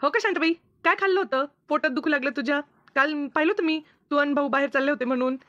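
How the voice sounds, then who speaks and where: A woman's voice: high voice, fast, fairly bright tone, no room sound. A woman voicing a gossipy, advice-giving village friend in a comedy cartoon, with a rural Maharashtrian Marathi accent. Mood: nosy. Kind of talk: comic cartoon dialogue. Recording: dull, lo-fi dry recording.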